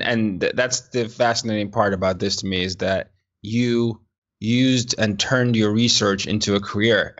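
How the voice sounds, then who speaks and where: Low-voiced man hosting a podcast. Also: a bit bright, lo-fi sound. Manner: restarts and stammers, then speaks encouragingly to listeners. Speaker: podcast host. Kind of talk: interview conversation.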